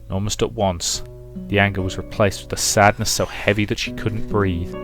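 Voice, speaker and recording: Male story narrator for a let's play: low voice, dramatic scripted read, music underneath. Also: flat delivery, moderate pace.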